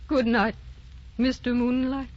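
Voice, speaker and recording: An actress playing a gentle, wistful young wife with a tender, soothing manner in a sentimental radio drama. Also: female voice, fairly high pitch, little pitch movement, measured pace, slight background noise, lightly acted. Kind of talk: sentimental old-fashioned radio drama acting. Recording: muffled old radio recording with music.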